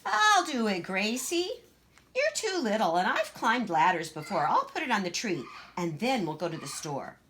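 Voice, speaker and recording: A woman's voice: mid-range voice, big pitch swings, normal speed, a bit bright, slight background noise, voice pushed up. A woman voicing a bossy, boastful, chatty little girl in a children's storybook, with an emphatic, gushing know-it-all manner.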